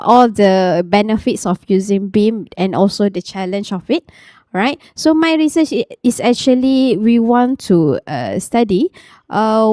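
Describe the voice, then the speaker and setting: A woman's voice: a little high, mellow, no echo, clean studio sound. Hesitant Malaysian woman presenting research. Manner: halting, frequent fillers and restarts, repeats "okay". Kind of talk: academic conference talk.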